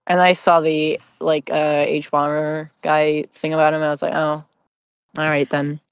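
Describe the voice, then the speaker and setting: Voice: mid-range voice, little pitch movement, moderate pace, clean tone, no echo. A podcaster in casual group chat, bantering with playful mock indignation. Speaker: podcast regular.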